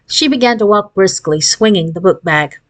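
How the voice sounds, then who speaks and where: A woman narrating a children's novel as an expressive read-aloud. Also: medium pitch, moderate pace. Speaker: storybook reader.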